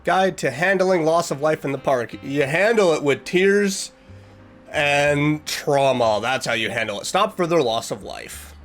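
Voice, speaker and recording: Animated man reacting, higher-pitched voice, music behind. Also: unhurried. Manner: animated, sarcastic, mock-excited asides. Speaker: reaction video host. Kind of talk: casual commentary reacting to a video.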